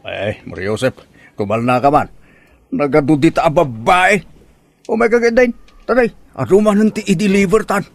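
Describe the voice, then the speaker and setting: A man's voice: fairly high pitch, normal speed, creaky, dry recording. A man with an Ilocano accent playing a nagging, exasperated, blustery uncle in a comedy drama, scolding and exclamatory.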